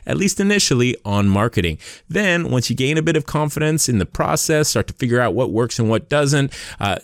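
A man's voice: mid-range voice, no echo, studio quality. A man hosting a music marketing podcast, talking in an upbeat, conversational way with frequent fillers. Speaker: podcast host.

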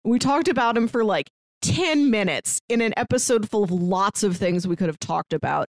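A woman's voice: mid-range voice, animated, normal speed, no room sound. A woman co-hosting a podcast, talking casually and chattily as she reviews stories. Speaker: podcast co-host.

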